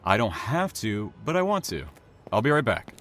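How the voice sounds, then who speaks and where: A low-pitched young man played by an actor, calm and courteous with a quiet intensity. His delivery is understated and natural, in an audio drama with faint ambient noise. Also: moderate pace. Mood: charmed, gentle.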